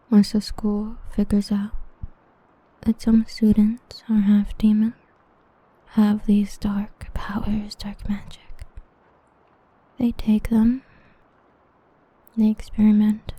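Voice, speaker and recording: A young woman voicing an obsessive, protective half-demon villain in a hushed, intimate ASMR roleplay whisper. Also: mid-range voice, husky, no echo, rough recording. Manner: hushed, intimate, slow and brooding.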